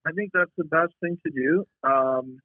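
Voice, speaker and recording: A man's voice: a little high, normal speed, no room sound. A man, a pastor, giving short polite replies over a phone call. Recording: tinny phone line on speaker.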